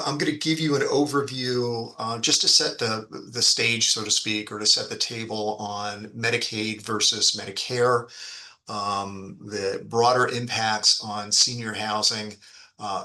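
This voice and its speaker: A man giving an explanatory business presentation as a fund executive, speaking haltingly with frequent ums and repeated words. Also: fairly low pitch, bright, forceful tone, poor audio. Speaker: fund executive presenting on an investor earnings call.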